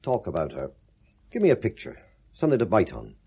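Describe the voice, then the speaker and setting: An actor playing a genial, probing middle-aged British police inspector with a husky voice, in a 1970s radio drama. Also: male voice, lowish voice, wildly swooping pitch, a little fast, warm tone, full character voice. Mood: casually probing. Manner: affable, wry, casually probing.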